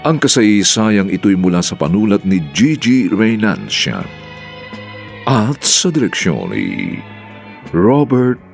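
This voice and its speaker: A man's voice: on the low side, sing-song, slow, creaky, soft background music. A man with a Filipino accent announcing a radio drama in a formal, theatrical, scripted style.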